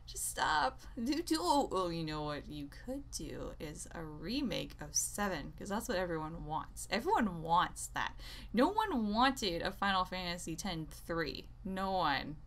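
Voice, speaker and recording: A woman's voice: on the low side, very animated, measured pace, a bit bright. A woman gaming creator ranting casually and off the cuff, exasperated and rambling, often interrupting herself. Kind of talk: unscripted opinionated rant to viewers.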